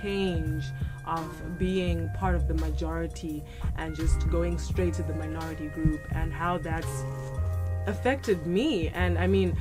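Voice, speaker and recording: A woman's voice: low-pitched, fairly even intonation, takes it slowly, over loud music. A young adult woman from Zimbabwe, an international student guest, reflecting on personal experience in conversation.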